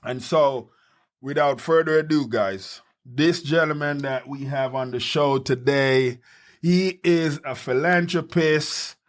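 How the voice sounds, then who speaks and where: A man hosting a podcast in a studio, talking casually and energetically, often repeating quick words of agreement. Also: a little high. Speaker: podcast host. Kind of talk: casual chat.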